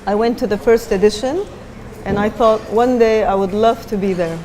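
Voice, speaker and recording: A woman's voice: medium pitch, normal speed, mellow, a little hiss or hum, low-quality recording. A middle-aged woman from Japan, a designer talking as a guest in an onstage interview, thoughtful and hesitant with many fillers.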